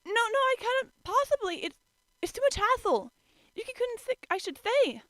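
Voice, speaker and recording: A woman's voice: very high voice, very animated, normal speed, a bit bright, dry recording, voice pushed up, heavily acted, rough recording. A woman voicing an anxious, polite young girl who stammers and frets, in an amateur story reading. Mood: flustered. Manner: stammering, fretful.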